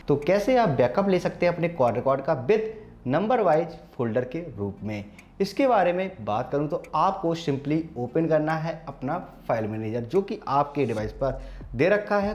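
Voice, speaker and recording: A man's voice: mid-range voice, a little mellow. An Indian man hosting a tech tutorial, speaking formally to viewers in an instructional, step-by-step way. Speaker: tech channel host. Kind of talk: scripted-sounding phone tutorial narration.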